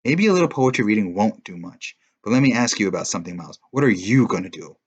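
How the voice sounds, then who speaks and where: A man's voice: lowish voice, animated, quick pace, breathy edge. A man voicing a determined, sarcastic teenage girl who confronts her classmate in a read-aloud story. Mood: challenging.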